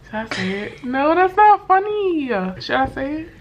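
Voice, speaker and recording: A young woman with a voice worn hoarse from sickness, telling a story to camera in a loose, slangy way full of fillers and swearing. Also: high-pitched, big pitch swings, normal speed. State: hoarse from being sick. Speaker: vlogger. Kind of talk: casual storytime chat to camera.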